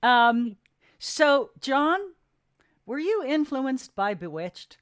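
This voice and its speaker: Female panel host, highish pitch, unhurried pace, playful teasing conversational tone. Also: sing-song, clear, resonant tone, dry recording, clean studio sound. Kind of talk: casual chat.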